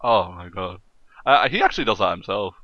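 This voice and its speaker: A man's voice: low-pitched, expressive, brisk, no room sound, highs cut off. A man hosting a casual gaming podcast, joking and sweary, often laughing as he talks. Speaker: podcast host.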